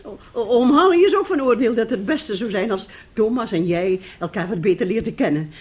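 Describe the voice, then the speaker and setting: A woman's voice: a little high, lively intonation, normal speed, a little mellow, close to an everyday voice. An actress playing a weary, scheming middle-aged wife and mother in a radio drama, with a wheedling way of talking. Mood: coaxing, nervous.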